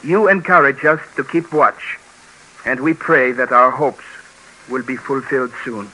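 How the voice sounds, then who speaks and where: A weary young Eastern European survivor in a vintage radio drama. His voice is very bright and tense, with solemn, measured delivery and an earnest, pleading formality. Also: medium pitch, low-quality recording. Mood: earnest, grateful. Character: weary 24-year-old married Eastern European survivor, earnest letter writer. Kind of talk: 1950s radio documentary acting. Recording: 1950s radio recording.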